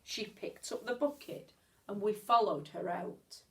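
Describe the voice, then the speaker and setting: A woman reading a children's story aloud like a teacher, expressively, with measured pauses and stretched words. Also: mid-range voice, fairly bright tone, low-quality recording.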